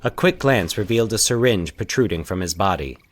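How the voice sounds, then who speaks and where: Low-voiced man, animated horror narration. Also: brisk. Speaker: storyteller, podcast narrator. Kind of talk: scripted horror fiction narration.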